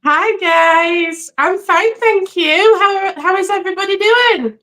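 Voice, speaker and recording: A woman from England, a guest on a live stream, chatting warmly and laughing often over a video call from home. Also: very high-pitched, slow pace. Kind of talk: casual live-stream chat. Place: home by the sea.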